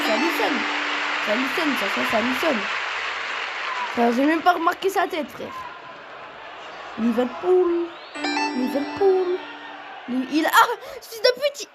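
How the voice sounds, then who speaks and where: A young man from Portugal commentating his own football quiz game, excitable and prone to shouting and swearing at opponents. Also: very high voice. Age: teens. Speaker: gaming youtuber.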